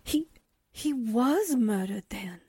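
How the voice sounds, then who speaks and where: Breathy, cheeky young sidekick played by a woman. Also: a little high, animated, slow, warm tone, no echo, studio quality, exaggerated voice. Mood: hushed curiosity. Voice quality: breathy, whispery. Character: cheeky young female reporter's assistant, blurting suspicions. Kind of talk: 1940s radio drama acting.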